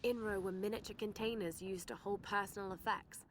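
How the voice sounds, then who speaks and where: A woman voicing a calm female game narrator who explains historical artifacts in an even, informative scripted delivery, played from a video game. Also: mid-range voice, fairly quick, lightly acted. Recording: game audio with clear background noise.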